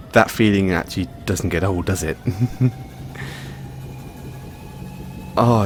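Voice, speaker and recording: Man playing an excitable mad scientist, wildly swooping pitch. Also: background noise. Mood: playful excitement. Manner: theatrical, geeky, hesitant musing between outbursts. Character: excitable eccentric mad scientist boyfriend with time machine. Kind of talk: audio roleplay acting, addressed to listener.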